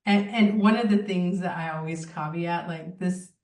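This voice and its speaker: A woman's voice: fairly low pitch, moderate pace, darkish tone. A woman co-presenting a training webinar in an informal, conversational, hedging way, recorded on a distant mic in a roomy space.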